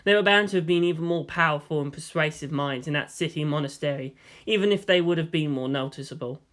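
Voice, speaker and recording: A man's voice: a little high, fast, fairly bright tone. A man reading scripted fiction aloud as the narrator.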